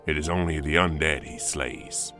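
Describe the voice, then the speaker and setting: A man acting as a brooding comic-book narrator, delivering dramatic narration in an ominous, deliberate way. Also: very deep voice, bright, forceful tone, soft background music.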